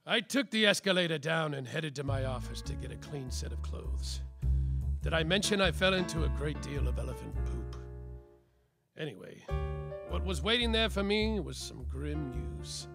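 An actor playing a world-weary, tipsy private detective in a slapstick noir radio play, with melodramatic hard-boiled narration. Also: male voice, a little high, very animated, moderate pace, over music. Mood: gloomy, wry.

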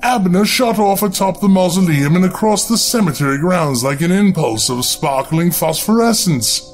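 A man narrating a scripted horror story in a dramatic storytelling style. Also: fairly high pitch. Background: background music.

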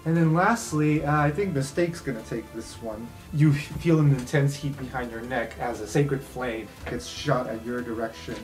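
A man's voice: mid-range voice, moderate pace, few pauses. A man narrating an improvised fantasy tabletop game as game master. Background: background music.

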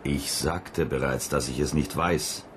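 An actor playing a gruff, brusque, domineering male space mission chief in a radio play. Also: extremely low pitch, unhurried, slight background noise. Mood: irritated, commanding. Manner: brusque, authoritarian. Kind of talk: German radio play acting.

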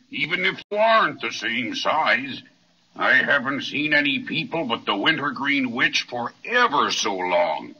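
A big friendly giant, talks real slow, bright and a bit husky, old radio show. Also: male voice, mid-range voice, heavily acted. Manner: slow, warm, drawn-out giant voice. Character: gentle kindly male giant, slow and friendly. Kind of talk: 1930s radio drama acting. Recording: muffled 1930s radio transcription.

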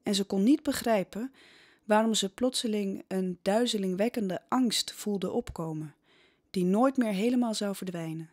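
A woman with a medium-pitched voice narrates a historical tale. Her delivery is scripted, dramatic storytelling for an audio drama. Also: normal speed, a little mellow.

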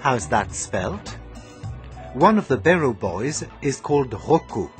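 A man narrating a language lesson in a slow, deliberate, teacherly way. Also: medium pitch, very animated, soft background music. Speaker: course narrator. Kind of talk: scripted language-lesson voice-over.

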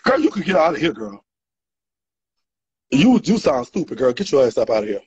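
A man's voice: medium pitch, big pitch swings, brisk, darkish tone, slightly rough. A man, ranting angrily and profanely at his followers in a selfie video, recorded on a phone held at arm's length indoors. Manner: angry, profane, repetitive, gesturing wildly. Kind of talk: heated selfie-video rant. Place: indoor room. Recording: dull lo-fi phone audio.

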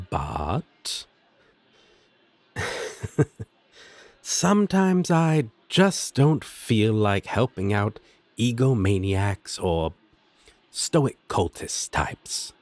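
Husky, flirty demon roommate played by a man. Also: fairly low pitch, sing-song, darkish tone, no echo, full character voice. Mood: musing, relaxed. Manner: teasing, casual, drawn-out delivery with dramatic swoops. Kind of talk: scripted audio roleplay addressed to listener.

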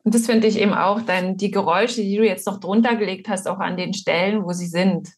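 A woman in her forties with a husky voice, talking casually as a guest on a video call. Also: mid-range voice, fairly even intonation, a little fast. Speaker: author, guest. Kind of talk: casual conversation. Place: indoors at home.

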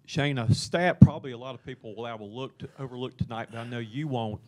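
Mid-pitched male sports reporter. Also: fast talker, a little mellow. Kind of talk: press conference question.

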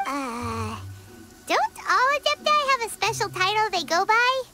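A woman voicing a tiny, childlike fairy girl in a video game, with a squeaky, perky, excitable and chatty delivery. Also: measured pace, over music, full character voice. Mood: confused, startled. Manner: perky, talks of herself in third person.

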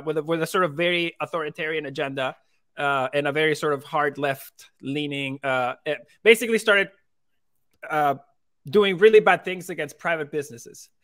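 A man in his thirties from Venezuela, telling his story as a guest in a casual interview over a video call with earbuds. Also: fairly high pitch. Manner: animated, gestures while talking, frequent restarts. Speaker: crypto company co-founder, guest.

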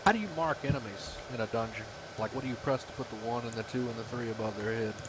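A man's voice: medium pitch, fast talker. Man chatting over hissy voice chat. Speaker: gamer chatting with teammates. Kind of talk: casual chat. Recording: noisy voice chat, clear hiss.

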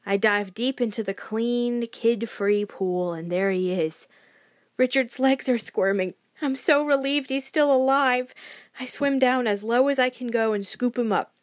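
A woman's voice: on the high side, measured pace, no echo, close to an everyday voice. A woman plays a sensitive young child telling a story in the first person. The delivery is naive, earnest and emotive, confiding in the listener. The sound is dry and close. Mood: earnest, determined.

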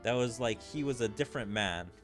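A man voicing a grave young warrior prince, reading game dialogue in a measured, formal way. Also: mid-range voice, music in the background, lightly acted. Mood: measured, explaining.

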